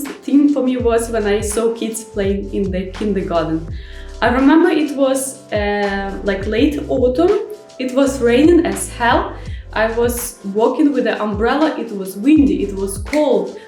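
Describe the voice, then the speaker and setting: Young woman, Ukrainian accent, fairly high voice, frank chatty vlog, echoey room, soft music behind. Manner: chatty, frank, non-native grammar with many fillers. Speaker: vlogger. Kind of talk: casual vlog monologue.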